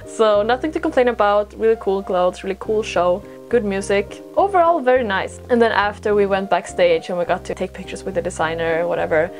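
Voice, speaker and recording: A young woman from Sweden, a native Swedish speaker, chatting casually and telling stories in an informal, self-deprecating way with rambling asides. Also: medium pitch. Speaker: podcast host and vlogger. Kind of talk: casual chatty storytelling to camera.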